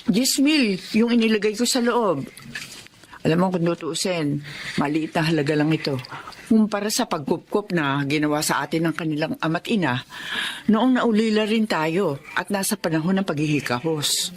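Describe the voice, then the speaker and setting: A woman's voice: fairly low pitch, normal speed, natural voice. A woman playing a loyal, protective aunt in a Filipino melodrama, outspoken and plain-spoken. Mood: warm, reflective. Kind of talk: Filipino melodrama audio acting.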